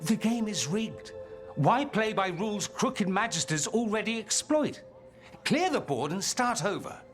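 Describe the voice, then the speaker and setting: An actor playing a suave, ambitious mage and rebel schemer in a fantasy video game, speaking with wry, theatrical eloquence. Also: male voice, high-pitched, sing-song, a little slow, soft background music. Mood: wry, persuasive. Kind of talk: dramatic video game dialogue acting.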